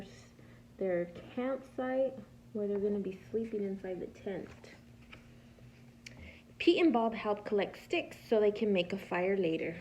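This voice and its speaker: A woman reads a picture book to small children like a patient preschool teacher, stopping to ask them simple questions. Her delivery is slow, with a creaky edge to the voice. The recording is a slightly muffled, lo-fi home one. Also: mid-range voice, dark tone, a little hiss or hum. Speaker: preschool teacher reading aloud. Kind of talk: picture-book read-aloud for young children.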